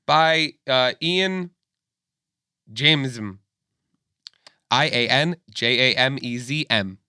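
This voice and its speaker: A man co-hosting a podcast in crude, excitable chat, sniffly, laughing and swearing as he reads fanfic aloud. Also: mid-range voice, takes it slowly, clear, resonant tone, no room sound, clean studio sound. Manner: profane, excitable, laughing often. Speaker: podcast co-host.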